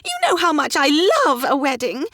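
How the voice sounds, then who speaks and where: A woman voicing a flighty, dramatic older society mother who talks in shrill, theatrical bursts. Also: big pitch swings, a little fast, a bit bright, dry recording, clean studio sound. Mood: aghast.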